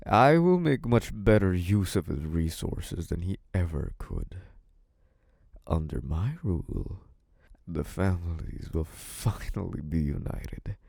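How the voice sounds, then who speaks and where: An actor playing a possessive, scheming young mafia boss in a theatrical, condescending, menacing monologue. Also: male voice, low-pitched, gravelly, no echo, clean studio sound, heavily acted. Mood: smug, sinister, then triumphant, scheming. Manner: menacing, condescending, theatrically drawn-out delivery with dark chuckles. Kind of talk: theatrical scripted audio roleplay monologue.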